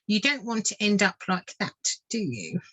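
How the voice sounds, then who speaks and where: Woman voicing a blunt little water vole, slow-spoken. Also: medium pitch, sing-song, breathy edge, voice pushed up, exaggerated voice. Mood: wary, warning. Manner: sharp, grumbling, colloquial. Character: blunt, sharp-tongued male water vole, small and wary. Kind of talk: children's storybook character voice.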